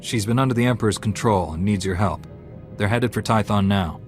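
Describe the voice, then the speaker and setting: An actor playing a calm, dutiful heroic knight in a video game, measured and sincere. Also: male voice, lowish voice, soft background music, close to an everyday voice. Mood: calm, earnest. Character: earnest, dutiful male Jedi Knight, calm hero.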